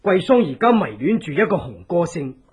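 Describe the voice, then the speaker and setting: An actor with a Hong Kong Cantonese accent plays an earnest, loyal, scheming advisor to a fugitive in a theatrical horror radio drama. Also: male voice, on the high side, big pitch swings, breathy edge, heavily acted. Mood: earnest, confiding. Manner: deliberate, theatrical, persuasive. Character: loyal scheming male resistance ally, earnest, deliberate advisor.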